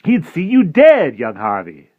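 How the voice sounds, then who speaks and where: A man voicing a wiry little wicked creature from a storybook, tempting and sly, hissing his words and slipping into a sing-song chant. Pitched a bit above the reader's own low voice, with a dull, dry lo-fi sound. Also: wildly swooping pitch, measured pace, no echo, heavily acted. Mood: sly coaxing. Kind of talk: audiobook character voice.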